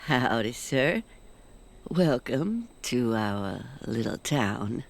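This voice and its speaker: Slow, creaky old Southern woman innkeeper. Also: medium pitch, sing-song, heavily acted. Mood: welcoming, folksy. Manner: slow, folksy, hospitable. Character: wrinkled hunched old woman innkeeper, folksy and welcoming. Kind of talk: audio drama acting.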